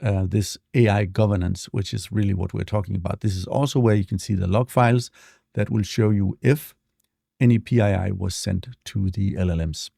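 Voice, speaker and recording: Man, deep gravelly voice, dark tone, dry close sound, relaxed software tutorial. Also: normal speed, dry recording. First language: not English. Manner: relaxed, explanatory, with frequent fillers. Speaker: software demo presenter. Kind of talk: screen-share tutorial walkthrough.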